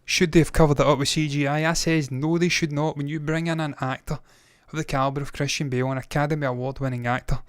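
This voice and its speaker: A man's voice: fairly high pitch, steady tone, fast, few pauses, clean tone, no room sound, clean studio sound. A man in his thirties hosting a movie news show, talking casually, with rambling opinionated asides. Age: 30s.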